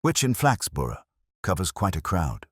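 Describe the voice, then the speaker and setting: A man playing a very deep, measured police inspector. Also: breathy edge, no room sound, studio quality, exaggerated voice. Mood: calm, deliberate. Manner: slow, calm, measured, understated. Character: calm, quiet, shrewd male police inspector with dry wit.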